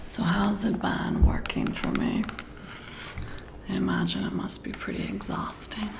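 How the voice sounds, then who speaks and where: A woman speaking in a soft, breathy whisper in an intimate ASMR roleplay, addressing the listener in a flattering way as a friendly boss. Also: mid-range voice, unhurried, noisy background. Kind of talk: soft-spoken ASMR roleplay, one-sided intimate chat.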